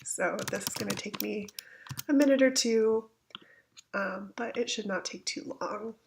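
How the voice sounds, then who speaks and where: A woman with a gravelly voice, getting over a cold, gives an informal step-by-step software tutorial, somewhat hesitant as she thinks aloud. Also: mid-range voice, unhurried, a little hiss or hum. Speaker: instructor giving a software tutorial. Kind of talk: informal step-by-step screencast walkthrough. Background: computer keyboard typing.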